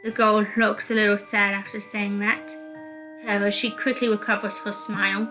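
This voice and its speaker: A woman's voice: medium pitch, level tone, normal speed, music in the background, rough recording. A woman reading first-person story narration aloud from a visual novel.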